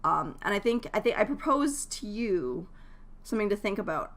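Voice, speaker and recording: Female streamer, medium pitch, casual advice chat, thinks aloud and stresses key words. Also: expressive, normal speed, fairly bright tone.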